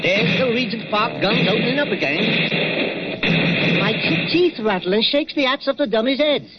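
Cockney watchman, folksy and chuckling, high lively voice, 1940s radio. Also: normal speed, over loud music. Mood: chatty. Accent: London Cockney. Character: cheery Cockney night watchman, middle-aged man. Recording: dull old radio recording with hiss.

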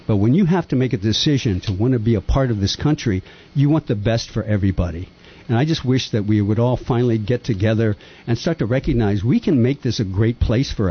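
An older man who was born in Japan, talking earnestly and at length with rambling, impassioned opinions on a radio-style talk show. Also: slightly rough. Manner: earnest, rambling, lively pitch swings. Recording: dull lo-fi sound.

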